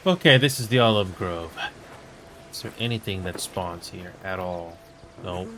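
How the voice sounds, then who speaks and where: Low-voiced Finnish-born man on a noisy stream. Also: measured pace, fairly bright tone. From Finland. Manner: rambling, repeats himself, mutters at the game. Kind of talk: casual live-stream chat while gaming. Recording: noisy background.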